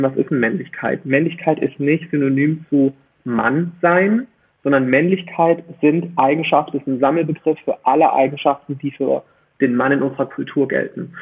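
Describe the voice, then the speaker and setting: Husky man on a thin, phone-like line. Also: a little high. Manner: emphatic, articulate, rhetorical questions. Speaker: podcast guest. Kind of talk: conversational interview, explaining opinions. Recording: muffled phone-like band-limited line.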